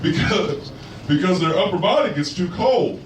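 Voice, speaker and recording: A man's voice: sits high, expressive, normal speed, heavy background noise, voice pushed up. A man doing a crude, unraveling road comic. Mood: rambling. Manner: rambling, crude, stumbling restarts. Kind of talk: stand-up set in a radio play. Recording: distant echoey live club recording.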